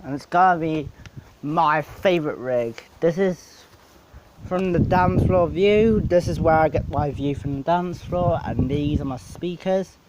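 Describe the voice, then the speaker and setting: A man with a fairly high voice talks through his DJ setup in a casual, unscripted way. He speaks slowly and haltingly, rambling from one point to the next in blunt, informal language. There is some light background noise with clicking. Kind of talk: casual unscripted gear walkthrough.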